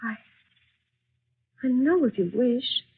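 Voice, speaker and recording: A woman's voice: fairly high pitch, lively intonation, extremely slow, slightly rough, full character voice. An actress playing a polite, hesitant young woman working as an office clerk, in old-time American radio drama style. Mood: puzzled, deferential. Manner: deferential, hesitant. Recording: muffled, tinny old radio recording.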